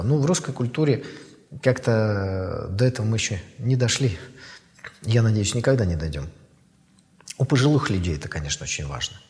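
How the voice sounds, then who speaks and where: A man's voice: medium pitch, darkish tone, big-room sound. Reflective man in his fifties. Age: 50s. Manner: measured, thoughtful, with pauses and fillers. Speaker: author. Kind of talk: reflective interview answers.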